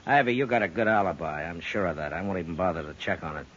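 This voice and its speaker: An actor playing a stern, commanding frontier marshal in a 1950s radio western, terse and authoritative. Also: male voice, sits low, lively intonation, fast, a bit bright. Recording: muffled, hissy 1950s radio recording.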